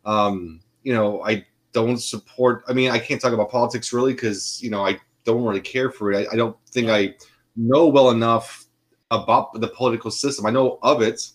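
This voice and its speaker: Podcast co-host, male, mid-pitched, rambling casual chat. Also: steady tone, normal speed. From Austria. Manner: rambling, full of restarts and fillers.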